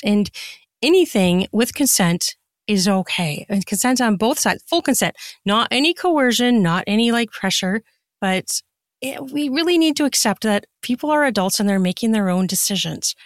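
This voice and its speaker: A woman's voice: mid-range voice, animated, moderate pace, no room sound. Candid woman guest, dry close recording. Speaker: podcast guest, writer and podcaster. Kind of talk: casual interview chat.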